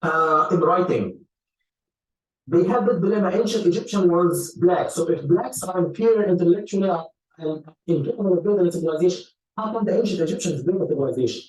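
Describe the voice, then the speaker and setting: A man's voice: high voice, expressive, a little fast. A man explaining history in a lecture style, hesitant with repeated words and fillers, heard in an archive clip. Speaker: scholar being interviewed. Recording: echoey, distant archive clip.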